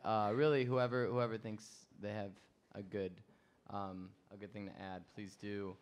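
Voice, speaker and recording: Low-voiced man moderating a panel.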